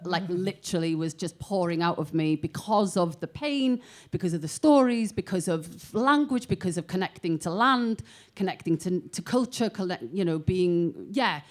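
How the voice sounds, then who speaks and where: A woman from Wales, a singer in a band, chatting on a panel and telling stories in an animated way, often laughing and restarting her phrases. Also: fairly low pitch, moderate pace, few pauses. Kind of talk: casual panel chat.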